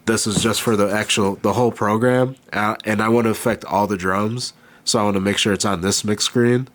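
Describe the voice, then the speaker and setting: A man with a cold and a nasal voice, casually talking through a hands-on beatmaking tutorial, halting as he works. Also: lowish voice, a little hiss or hum. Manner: relaxed, halting, thinks aloud while working. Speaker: beatmaker demonstrating gear. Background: beat playing behind.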